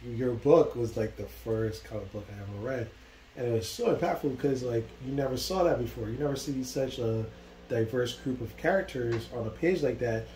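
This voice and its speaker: A man from Barbados hosts a casual interview, speaking eagerly and leaning on "like" between thoughts. His voice is mellow and dark, set in an echoey room with a faint hum behind it. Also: mid-range voice, normal speed. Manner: enthusiastic, repeats "like" often. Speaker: interview host.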